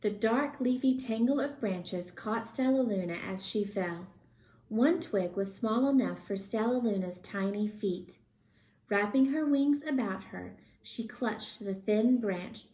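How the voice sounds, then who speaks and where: A woman reading a children's storybook aloud with expressive narration. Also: fairly high pitch, slow. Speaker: storybook reader. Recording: roomy, echoey sound.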